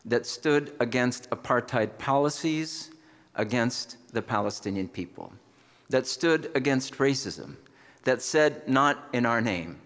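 A man's voice: medium pitch, expressive, a bit bright. Emphatic man hosting from a podium. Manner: emphatic, slow, builds to proud introductions. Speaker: event host presenting an award. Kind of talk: rousing speech at a podium. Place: large banquet hall on stage. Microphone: podium microphone. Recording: long hall reverb with faint crowd.

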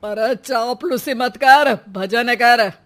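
An old father from an animated cartoon, played by a performer, upright and easily exasperated. He chides and moralizes like the head of the household. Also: medium pitch, slow pace. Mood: teasing. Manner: chiding, moralizing. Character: principled, exasperated elderly father, grey-haired family patriarch.

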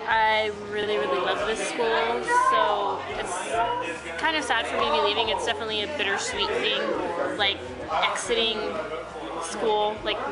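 A teenage young woman, a high school senior, giving a casual interview answer. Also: expressive, normal speed. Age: teens. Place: classroom. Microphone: camera at close range. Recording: loud music and crowd chatter. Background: loud music, crowd.

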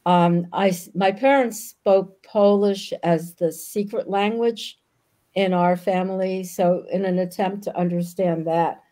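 An older woman whose first language was Yiddish, speaking as a guest author on a video call from a book-lined room, pausing often with ums. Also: medium pitch, fairly even intonation, dark tone. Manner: thoughtful, frequent ums. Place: home room with bookshelves.

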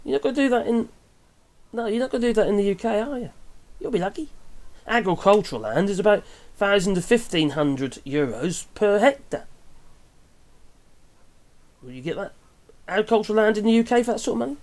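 A man's voice: high-pitched, expressive, a little fast, pauses often, a little mellow. A middle-aged man with an English accent talks through his opinions in a casual, rambling vlog style. He often breaks off to correct himself and adds chatty tag questions as he goes. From England. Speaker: vlogger commenting on news. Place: small wooden cabin room with open window.

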